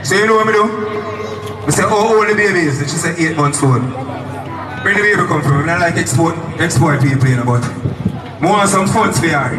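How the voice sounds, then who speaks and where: A Jamaican street performer with a high voice spins an animated story, acting out the people in it. He is picked up from a distance outdoors, with echo and a loud crowd and traffic around him. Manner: animated, calls out to people, acts out quotes.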